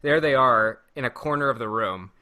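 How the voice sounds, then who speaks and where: A man's voice: medium pitch, normal speed, bright, forceful tone. A man co-hosting a podcast, telling stories casually with an enthusiastic, jokey and emphatic manner. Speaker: podcast co-host. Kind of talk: casual storytelling and banter.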